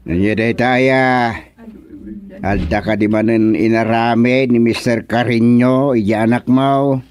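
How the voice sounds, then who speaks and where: A man's voice: medium pitch, fairly even intonation, slow pace, a little mellow, natural voice. A man playing a village chairman who hears complaints, in a radio comedy drama. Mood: questioning.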